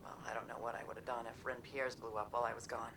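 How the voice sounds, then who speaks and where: A blunt, tough soldier. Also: female voice, very deep voice, level tone, quick pace, noisy background. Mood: earnest. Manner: plainspoken, candid. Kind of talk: video game cutscene.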